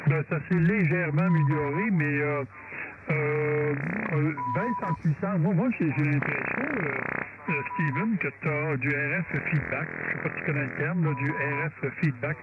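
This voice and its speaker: A man with a Quebec French accent and a slightly high voice talks as a radio operator. His delivery is informal and halting. The sound is very thin and narrow, with clear noise over the transmission. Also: big pitch swings. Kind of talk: casual radio chat, technical troubleshooting.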